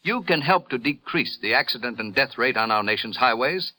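A man's voice: mid-range voice, lively intonation, a little fast, sharp tone, poor audio. A man with an American accent, an earnest and formal 1950s radio announcer reading a scripted message. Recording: vintage 1950s radio broadcast recording.

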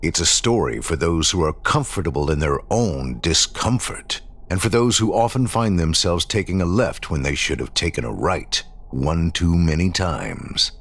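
A man's voice: sits low, lively intonation, normal speed, few pauses, a bit bright. A man hosting a horror podcast, reading a scripted introduction with theatrical, dramatic phrasing. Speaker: podcast network host.

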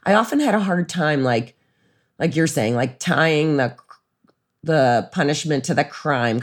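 A middle-aged American woman co-hosting a podcast, telling casual anecdotes in an animated way and often acting out quotes. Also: low-pitched. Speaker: podcast co-host. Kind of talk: casual conversational chat, anecdotes.

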